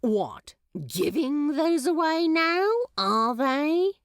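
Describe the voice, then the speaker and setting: Woman as a slow, drawling, smug male demon. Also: high voice, lively intonation, no room sound, studio quality, pitched above the performer's own voice, exaggerated voice. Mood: smug, teasing. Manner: drawling, sardonic, smirking.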